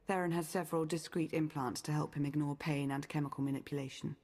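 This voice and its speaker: An actress voicing a composed, analytical woman in a video game, with a measured, precise way of talking. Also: sits low, fairly even intonation, a little mellow.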